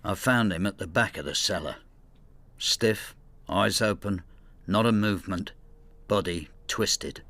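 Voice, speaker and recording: An actor playing a vengeful, ageing husband in a radio drama, brooding and spiteful, with a gravelly voice. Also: male voice, deep voice, measured pace, pauses often, a bit bright, dry recording, heavily acted. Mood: anxious, grieving. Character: bitter, vengeful ageing husband devoted to his cat. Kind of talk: first-person radio drama narration and dialogue.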